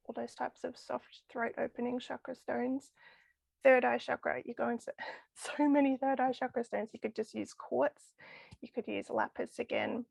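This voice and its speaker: Husky gravelly woman losing her voice. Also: fairly high pitch, no room sound. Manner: enthusiastic, chatty with frequent laughs. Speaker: crystal healing guest. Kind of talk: casual podcast interview.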